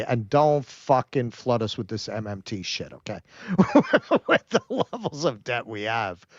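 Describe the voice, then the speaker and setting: A man's voice: fairly low pitch, no room sound, muffled recording. Blunt, swearing man on a livestream. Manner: blunt, swears freely, addresses listener as "man". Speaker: co-host. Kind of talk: casual livestream chat.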